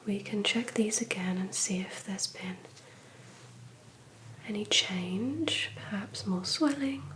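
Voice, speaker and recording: A woman whispering gently and breathily in an ASMR medical roleplay, calm, reassuring and unhurried like a clinician. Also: mid-range voice. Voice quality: breathy, mostly unvoiced whisper. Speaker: ASMR performer playing a calm clinician doing an ear exam. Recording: lo-fi recording with noise and clicks.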